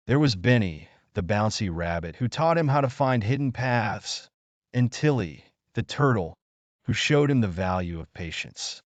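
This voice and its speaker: A man's voice: lowish voice, dry recording. A man narrating a scripted children's bedtime story as a storyteller.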